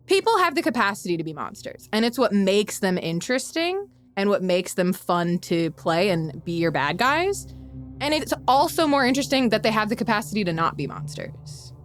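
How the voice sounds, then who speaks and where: A woman guesting on a podcast, chatting casually and offering her opinions. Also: medium pitch. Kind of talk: casual chat.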